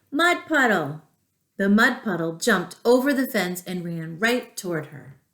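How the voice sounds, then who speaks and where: A woman reads a picture book to young children. Her pace is slow and deliberate, her delivery expressive with asides to the listeners. The room around her is noticeably echoey. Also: mid-range voice. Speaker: children's storyteller at a school. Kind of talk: storybook read-aloud to young children.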